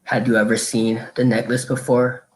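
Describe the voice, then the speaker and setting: A man's voice: mid-range voice, little pitch movement, lightly acted, low-quality recording. Man acting proud police inspector. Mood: haughty, dismissive. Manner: formal, deliberate, condescending. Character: proud, suave French police chief inspector. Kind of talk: radio drama acting.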